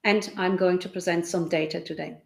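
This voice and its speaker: A woman's voice: on the low side, fairly even intonation, fairly quick, gravelly, roomy sound. A woman introducing herself as a consultant in a webinar, using fillers as she talks. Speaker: consultant, co-presenter.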